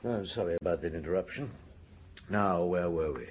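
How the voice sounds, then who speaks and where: An actor playing a patient police detective in a radio drama, putting probing questions with measured courtesy. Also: male voice, deep voice, a little hiss or hum, close to an everyday voice. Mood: businesslike.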